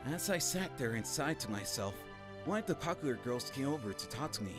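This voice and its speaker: A man voicing a shy, bookish teenage high school boy in a manga dub, self-effacing and expressive. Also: brisk, natural voice. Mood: self-deprecating. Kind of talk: manga dub narration and dialogue. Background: background music.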